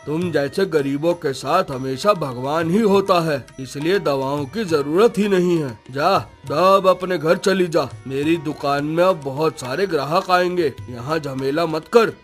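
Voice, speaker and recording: A man's voice: fairly high pitch, measured pace, hardly any pauses, soft background music, close to an everyday voice. A man voicing a callous village shopkeeper in a cartoon moral story, curt and scornful.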